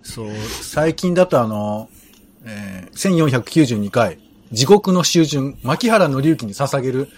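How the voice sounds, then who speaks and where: Halting, animated man rambling casually. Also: mid-range voice. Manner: stammering, halting, self-deprecating. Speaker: co-host.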